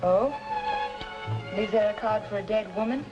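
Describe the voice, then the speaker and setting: A woman's voice: mid-range voice, moderate pace, muffled recording, natural voice. An actress plays an anxious, stubborn young woman in a vintage radio noir. Her delivery is intense and emotive, in a middling register, with music heard behind her. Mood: uneasy. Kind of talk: old-time radio noir drama acting.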